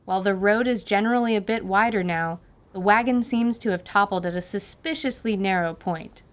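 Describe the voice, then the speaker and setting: A woman narrating a fantasy radio drama with lively storytelling. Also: mid-range voice, a little fast.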